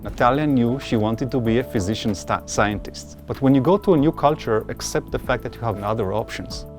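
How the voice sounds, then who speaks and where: A man's voice: mid-range voice, brisk, music in the background. A man speaking earnestly as a mentor in a documentary interview, giving emphatic advice. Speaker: physician-scientist mentor.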